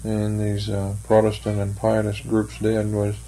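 Older man, gravelly and flat, slow hesitant talker; faint noise on the tape. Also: fairly low pitch, low-quality recording. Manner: slow, hesitant, thoughtful, many fillers. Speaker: interviewee, church member. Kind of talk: oral history interview.

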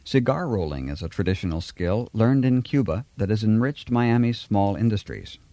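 A man narrates a documentary in a measured, scripted way. His voice sits low and mellow, recorded close and dry. Faint music plays softly behind him. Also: no echo. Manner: measured, even informative delivery.

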